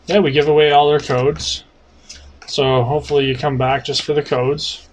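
A man's voice: on the high side, little pitch movement, normal speed. A man in his thirties from Canada, co-hosting a card-opening video at home, joking and reading out card names. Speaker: card-opening channel co-host. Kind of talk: casual chat while opening cards. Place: home room with cards on a table. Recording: noisy home camera recording.

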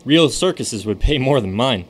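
A man's voice: mid-range voice, expressive, normal speed, lightly acted. A man playing a brash, streetwise young showman who narrates his own story in a radio drama. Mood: wry. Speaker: narrator and lead.

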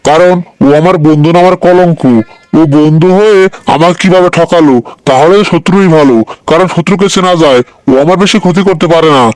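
A man's voice: a little high, darkish tone, clean tone, dry recording, natural voice. A man voicing a gullible, simple-hearted crocodile in a folk-tale cartoon, speaking plainly and earnestly. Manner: plain-spoken, naive, earnest. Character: gullible simple-hearted male crocodile, aggrieved at being cheated.

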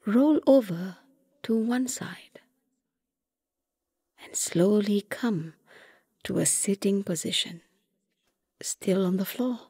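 A woman narrating a scripted guided meditation softly and gently, often close to a whisper, with long unhurried pauses. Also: medium pitch, dry recording. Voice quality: soft, breathy, often near whisper.

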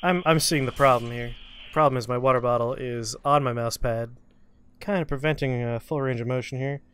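Bright-voiced man doing game commentary. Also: mid-range voice, fairly even intonation, a little fast, clear, resonant tone. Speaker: gaming show host. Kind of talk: casual video game commentary.

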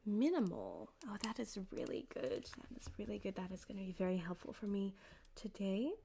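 A woman voicing a flirtatious, sweetly menacing yandere cyberpunk doctor in an ASMR roleplay, soft-spoken and halting, often slipping into a whisper, close on a binaural mic. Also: mid-range voice, very animated, vocal fry, a little hiss or hum. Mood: coy, clinical. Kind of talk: ASMR roleplay addressed to the listener. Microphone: close binaural microphone.

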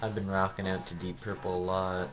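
A man's voice: low voice, brisk, music in the background. A young man giving a casual, rambling video diary in a hesitant way. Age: teenager or young adult. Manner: hesitant, rambling delivery with flat tone. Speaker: vlogger. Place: small bedroom at home, indoors. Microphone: camera held at arm's length.

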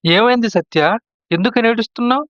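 A man's voice: very high voice, brisk, no room sound. A man playing an earnest young husband, muffled recording. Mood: concerned. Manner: earnest, questioning. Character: trusting, easily swayed younger brother and husband. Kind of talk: moral story audio drama acting.

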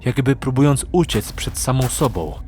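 A man's voice: medium pitch, animated, moderate pace, darkish tone, a little hiss or hum. A man narrating a fantasy audiobook in a dramatic, scripted storytelling style.

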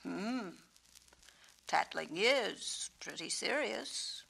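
A woman voicing a gentle, wise male peacock in a children's story, speaking slowly and humbly. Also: medium pitch, lively intonation, vocal fry, slightly rough, exaggerated voice. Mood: thoughtful. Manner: slow, deliberate, natural and humble. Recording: noisy 1970s children's story record.

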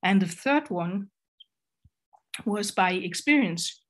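A woman's voice: medium pitch, expressive, takes it slowly. A middle-aged woman from Denmark with a Danish accent, giving a video-call lecture on design, hesitant with many fillers and restarts. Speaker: graphic designer and educator. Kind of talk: online presentation lecture.

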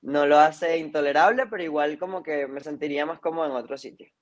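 Higher-voiced young Venezuelan man chatting. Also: quick pace. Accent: Venezuelan, slightly Chilean lilt. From Caracas, Venezuela. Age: 20s. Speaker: interview guest, singer-songwriter. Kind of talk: casual chat. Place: indoor room on a video call. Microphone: video call.

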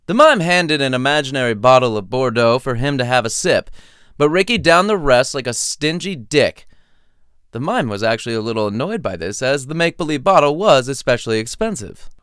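A man's voice: medium pitch, lively intonation, a little fast, a bit bright, smooth, no echo, clean studio sound. A man reading his own story as a wry, theatrical narrator. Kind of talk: lively scripted storytelling narration.

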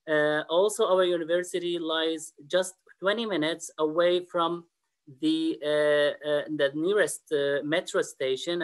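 A man whose first language is Arabic, an admissions officer giving a webinar presentation over a computer mic, often pausing on fillers. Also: on the high side. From Middle East and North Africa. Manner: hesitant, frequent uh fillers and restarts.

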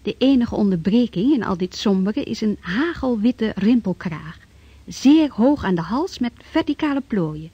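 Breathy female narrator, dry dull recording. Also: fairly high pitch, expressive, a little slow, mellow, dry recording. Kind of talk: scripted radio drama narration.

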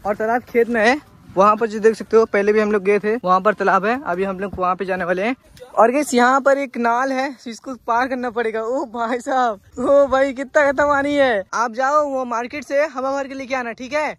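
A young man filming a casual outdoor vlog at a village pond with a handheld phone, talking excitedly and calling out to friends. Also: very high-pitched, a little fast. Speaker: vlogger.

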